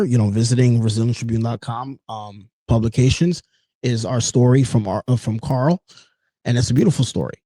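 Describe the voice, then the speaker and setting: A man's voice: mid-range voice, warm tone, no room sound. A man in his late thirties hosting a solo podcast, talking in an earnest, personal way. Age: 30s. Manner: earnest, personal, with frequent "you know" and "folks". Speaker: podcast host. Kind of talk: reflective solo podcast talk.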